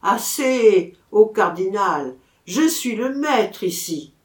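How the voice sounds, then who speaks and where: A woman's voice: mid-range voice, big pitch swings, slow pace. A woman voicing a mighty, domineering male bull in a storybook reading, declaiming with command. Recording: roomy, echoing room sound.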